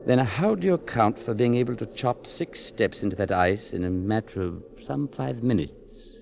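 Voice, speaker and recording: An actor playing a thoughtful physician in a 1940s radio drama, measured, probing and persuasive, on a muffled old broadcast. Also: male voice, lowish voice, expressive, creaky, darkish tone, slight background noise. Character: thoughtful, probing male physician and husband, formerly of London.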